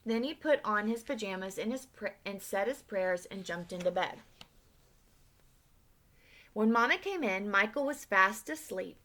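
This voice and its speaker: A woman reads a children's picture book aloud with lively expression. Her voice is bright and clear, and she stops now and then to ask her young listeners questions. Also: mid-range voice, measured pace. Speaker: read-aloud storyteller.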